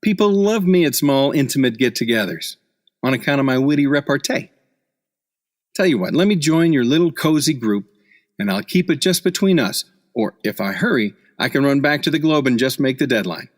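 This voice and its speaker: A man's voice: medium pitch, animated, moderate pace, lightly acted. A man playing a cocky, wisecracking hard-boiled newspaper reporter in an old-time radio play, full of sardonic slang. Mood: wisecracking, breezy.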